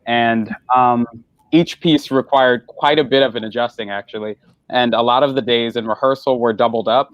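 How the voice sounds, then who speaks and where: A man's voice: medium pitch, no room sound. Thoughtful man, dry muffled audio. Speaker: stage actor being interviewed. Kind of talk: thoughtful interview answer.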